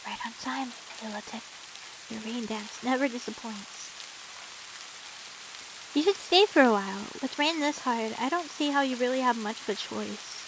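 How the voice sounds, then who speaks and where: Woman acting a high-voiced, teasing, doting anime champion. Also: normal speed, noisy background, natural voice. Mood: coy, doting.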